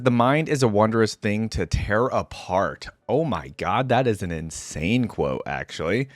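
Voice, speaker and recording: A man's voice: on the low side, dry recording, clean studio sound. A man hosting a reaction video, switching between reading lore aloud and chatty, informal commentary.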